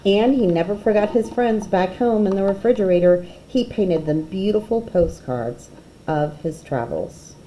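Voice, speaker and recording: A woman reading a children's picture book aloud expressively, with dramatic pauses and emphasis. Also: mid-range voice, animated, mellow, a little hiss or hum, low-quality recording.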